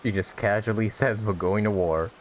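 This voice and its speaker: Woman gamer chatting over gameplay, really deep voice, very noisy mic w clicks. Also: normal speed. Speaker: gaming video host. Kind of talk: casual play-along commentary. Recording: clearly noisy home recording with clicks.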